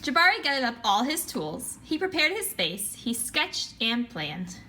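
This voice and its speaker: Story time narrator: woman, higher-pitched, very expressive, reading picture books to children. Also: unhurried. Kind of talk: animated children's picture book read-aloud. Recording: faint background noise.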